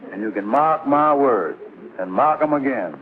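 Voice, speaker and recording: An actor playing a gullible, self-pitying middle-aged bachelor in a 1950s television sitcom, speaking with dogged emphasis. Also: male voice, mid-range voice, lively intonation, normal speed, natural voice. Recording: noisy, narrow-band vintage TV soundtrack.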